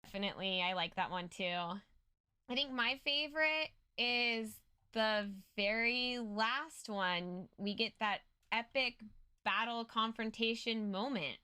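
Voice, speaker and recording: A woman with a bright, fairly high voice talks in a dry-sounding space. She gives casual commentary as a show co-host, explaining things earnestly. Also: slow pace, clean tone, no room sound. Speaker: co-host of a story recap show.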